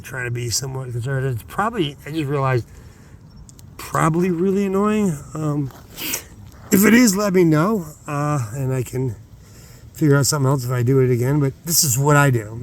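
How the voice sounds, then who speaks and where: A man's voice: medium pitch, vocal fry, a little hiss or hum. A man talking casually as host of his own outdoor vlog, hesitant and meandering, often interrupting himself. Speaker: channel host. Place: outdoors at night, rockhounding.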